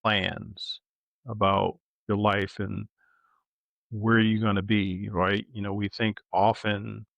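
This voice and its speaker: Middle aged guy cohosting a podcast, gravelly voice, dry close sound, thinks out loud in a halting way. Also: lowish voice, little pitch movement, dry recording, highs cut off. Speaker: podcast co-host. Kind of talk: casual reflective podcast conversation.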